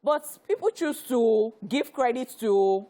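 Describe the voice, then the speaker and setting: A woman's voice: a little high, animated, slow pace, warm tone. A woman co-hosting an entertainment show, chatting animatedly and firing off rhetorical questions. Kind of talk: casual chat.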